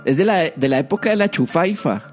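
A man's voice: fairly high pitch, animated, brisk, soft background music. A Costa Rican man co-hosting a comedy podcast, reacting with casual, slangy, teasing banter.

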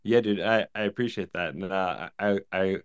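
A man's voice: low voice, normal speed, clean tone. A man from Virginia speaking casually on a panel, joking at his own expense. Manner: self-deprecating, casual.

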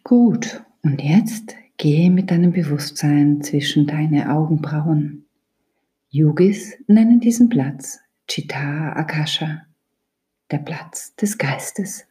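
A woman guides a meditation in a low, dark, relaxed voice. She speaks very slowly and deliberately, leaving pauses between instructions. Her delivery is soft and breathy, close to a whisper, calm and soothing throughout. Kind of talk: guided yoga nidra meditation.

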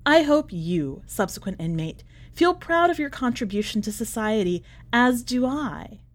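A woman's voice: a little high, lively intonation, measured pace. An imprisoned letter writer, played by a woman narrator, genteel and over-polite with a brittle, ironic cheer. The voice swoops through ornate sentences in a dramatic story reading.

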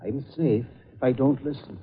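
A man playing a wary old theater watchman, husky and folksy, giving ominous warnings in an old radio drama. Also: medium pitch, normal speed, close to an everyday voice. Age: older. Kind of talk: 1940s radio acting. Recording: muffled old radio recording, narrow band.

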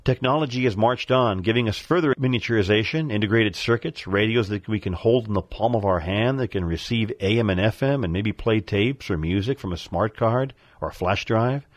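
A middle-aged man with a fairly low voice narrates from a script in measured, formal sentences. The sound is dry and close, as on a radio feature with a nostalgic bent. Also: no echo. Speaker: radio show contributor on vintage radios.

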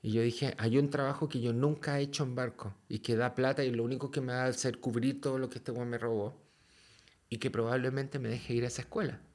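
A man with a Chilean accent tells a story as a podcast guest, lively and loose with slang and curses. His voice sits at an ordinary middle pitch. He talks casually. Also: a little fast. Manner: lively, slangy and swears freely.

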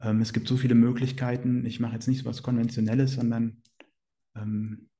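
A man's voice: medium pitch, flat delivery, fairly quick, dark tone. A man interviewing a guest, asking long, hesitant questions in a casual tone.

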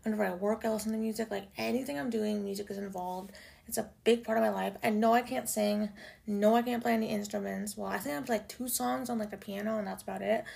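Raspy young woman chatting on a podcast. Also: steady tone, fast talker, darkish tone. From Canada. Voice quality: low raspy voice. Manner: chatty, swears freely, lots of "like" and "y'all". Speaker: podcast host. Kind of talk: casual solo podcast chat. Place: home room in Toronto.

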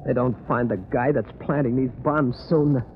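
A man's voice: medium pitch, normal speed, lightly acted. An actor playing a jittery, slangy ordinary city man in a 1930s radio drama. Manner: slangy, agitated. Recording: tinny, hissy 1930s radio recording.

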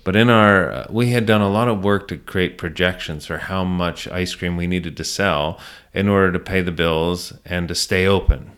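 A man telling his story as a business owner in a casual interview, often halting and repeating words as he goes. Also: low-pitched, fairly bright tone, clean tone. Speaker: ice cream shop owner, interview guest.

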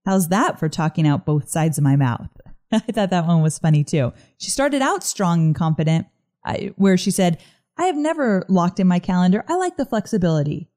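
A woman hosting a casual solo podcast, chatty and upbeat, her voice a little gruff this morning. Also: on the low side, very animated, moderate pace, dark tone. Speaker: podcast host.

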